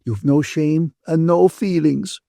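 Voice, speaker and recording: A bitter, indignant woman from a novel, acted by a male narrator, scolds in a raised pitch. The delivery is emphatic and aggrieved, close to tears, with a dry studio sound. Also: slow pace, gravelly, warm tone, no echo. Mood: bitter, accusing.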